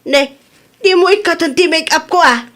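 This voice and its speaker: A woman's voice: very high voice, moderate pace, a bit bright. A woman with an Ilocano accent playing a vain, stubborn, playful old mother in a radio comedy drama, coy and defensive.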